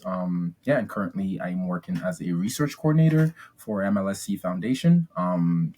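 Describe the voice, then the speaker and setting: Young man, from Ghana, lowish voice, relaxed upbeat chat, lots of ums. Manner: chatty, many ums and restarts, upbeat. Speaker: podcast guest. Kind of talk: casual interview storytelling.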